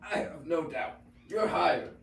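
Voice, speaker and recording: A man's voice: fairly high pitch, lively intonation, slow, gravelly, heavily acted. A teenage boy playing an old worn-out donkey with musical dreams, joking and punning in a reader's theater performance. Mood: jokey, encouraging. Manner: jokey, punning. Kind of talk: reader's theater script reading. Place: high school classroom. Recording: roomy, distant classroom recording, faint noise.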